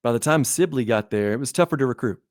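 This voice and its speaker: A man's voice: mid-range voice, expressive, fast talker, no room sound, studio quality. A man who is sick and losing his voice, talking through history in a rambling, self-correcting way during a casual podcast interview. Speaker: guest historian.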